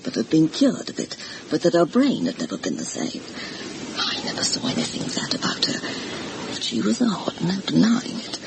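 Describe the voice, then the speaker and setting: A woman's voice: medium pitch, gravelly. A woman playing an anxious, gossipy older housekeeper in a vintage radio horror drama, confiding and deferential as she tells her story dramatically. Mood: gossipy, pitying. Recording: noisy vintage radio recording.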